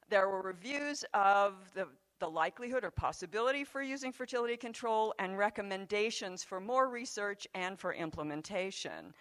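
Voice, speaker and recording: An older woman giving a conference talk from a podium in a large hall, speaking into a handheld microphone in a measured, conversational way. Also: medium pitch, a bit bright. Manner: measured, conversational lecturing with occasional fillers.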